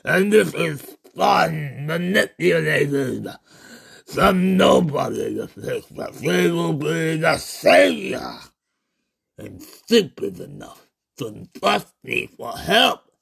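A man performs a calm, condescending tentacled alien from a horror story. The creature speaks very slowly and deliberately, with a gravelly creak. Each phrase is drawn out as if lecturing a lesser species. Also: on the high side, full character voice. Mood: gloating, menacing. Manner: slow, deliberate, drawn-out delivery. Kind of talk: horror short story character voice.

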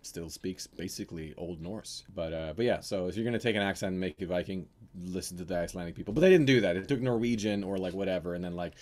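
A man's voice: sits low, quick pace, hardly any pauses, a little mellow. Casual Norwegian guy chatting. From Norway. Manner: loose, swearing, does mocking impressions. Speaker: actor guest. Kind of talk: casual chat.

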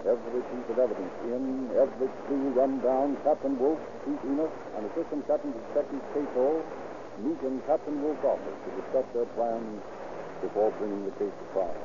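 An actor playing a formal, earnest narrator of a 1930s radio crime drama. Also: male voice, mid-range voice, fairly quick, close to an everyday voice. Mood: earnest, measured. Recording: muffled, noisy old radio recording.

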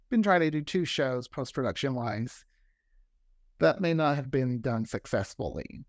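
A man's voice: medium pitch, no echo, studio quality. A talkative man chatting casually as an interview guest, often repeating words for emphasis. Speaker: interview guest, fiction podcast creator.

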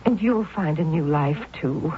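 An actress playing a devoted, worried middle-aged aunt with earnest, emotional pleading in a 1970s radio drama. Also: female voice, deep voice, lively intonation, a little slow. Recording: dull old radio broadcast with faint hiss.

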